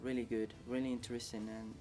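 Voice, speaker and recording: Brief: man, Vietnamese accent, halting non-native English, soft music under. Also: mid-range voice, flat delivery. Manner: halting, many restarts, second-language English. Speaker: interviewee. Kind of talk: casual recollection.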